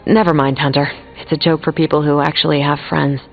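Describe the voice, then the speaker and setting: Blunt superheroine, thin phone-like sound. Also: female voice, low voice, flat delivery, brisk, gravelly, faint music behind. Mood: needling. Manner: blunt, colloquial, sardonic. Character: frank, sardonic soldierly superheroine, adult woman. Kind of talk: video game character dialogue.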